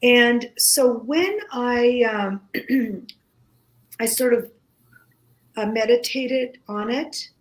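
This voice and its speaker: A woman whose first language is Greek, speaking as a guest in a casual radio conversation, slow and halting with many pauses and restarts. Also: fairly high pitch.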